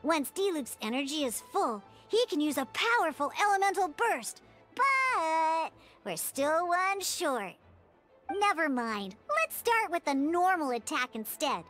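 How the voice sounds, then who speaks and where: A woman playing a small, bubbly, childlike girl companion in a video game, with a squeaky voice and a perky, encouraging way of explaining. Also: sing-song, a little slow, fairly bright tone, soft background music, full character voice. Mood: mildly let down. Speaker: game tutorial guide. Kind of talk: animated video game character tutorial dialogue.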